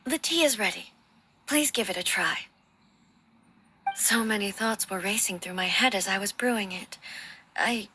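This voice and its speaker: An actress playing a solemn, ancient female shogun in a video game, with formal, measured and deliberate diction. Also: mid-range voice, sharp tone, slight background noise, lightly acted. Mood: calm, measured. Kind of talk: video game character dialogue.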